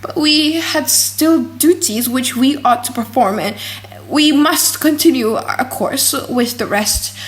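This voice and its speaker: Casting: female audiobook narrator, higher voice, expressive and theatrical delivery for classic gothic fiction. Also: slow pace, rough recording. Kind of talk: dramatic, slightly silly audiobook reading of a gothic novel.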